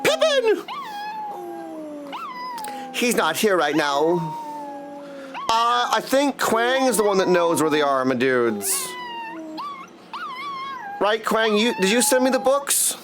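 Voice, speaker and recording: A man's voice: high voice, huge pitch swings, takes it slowly, long pauses, noisy background. A man livestreaming casually, greeting viewers with effusive affection as he chats. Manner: effusive affectionate greetings, often addressing listeners as dudes. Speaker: live streamer greeting chat.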